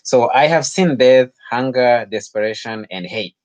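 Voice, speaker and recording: A man from Rwanda with a Rwandan accent, talking informally to listeners as an author, in a halting way with repeated and rephrased phrases. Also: mid-range voice, dry recording. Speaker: author hosting a book-reading talk.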